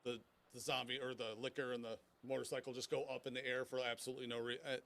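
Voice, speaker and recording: A man in casual podcast chat, speaking in a halting way and often repeating his phrases. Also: medium pitch, flat delivery, vocal fry, fairly bright tone. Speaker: podcast panelist.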